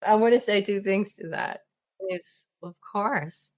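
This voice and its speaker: A woman coach interviewing the host in a warm, casual way and laughing easily. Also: medium pitch, measured pace, dry recording.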